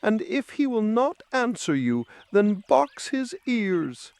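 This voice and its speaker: A man's voice: high-pitched, sing-song, slow pace, creaky, a little mellow, no room sound, voice pushed up, exaggerated voice. Guy doing a mean fairytale stepmom, raised voice, bossy and fake nice. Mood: cold, commanding. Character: wicked, scheming, falsely kind stepmother.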